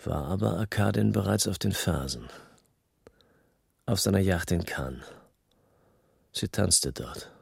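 Deep, husky hard-boiled crook. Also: male voice, lively intonation, measured pace, long pauses, no room sound, studio quality, full character voice. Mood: brooding. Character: hard-boiled small-time male crook recounting his past, restless. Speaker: narrator and lead. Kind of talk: scripted noir radio drama narration.

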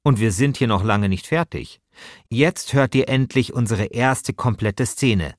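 A man's voice: medium pitch, a little slow, no echo, clean studio sound. A man hosting a podcast with scripted narration. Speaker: host.

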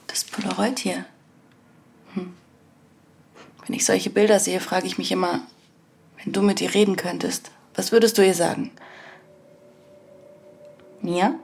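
A concerned, curious woman friend in a naturalistic audio drama. Her pitch is medium, and she talks casually and a little hesitantly, dipping toward a whisper. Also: steady tone, unhurried, creaky, pauses often, a little mellow, slight background noise. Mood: curious, gentle.

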